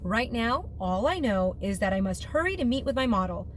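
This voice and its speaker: Woman reading as a deliberate, thoughtful storybook painter. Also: a little high, sing-song, slight background noise. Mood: pondering. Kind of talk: storybook read-aloud.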